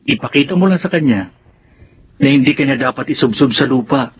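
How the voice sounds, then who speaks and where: A man's voice: mid-range voice, lively intonation, fairly quick, lightly acted. An actor with a Filipino accent playing a supportive, level-headed young man in a radio drama, earnest and reassuring. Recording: dull lo-fi sound, faint noise.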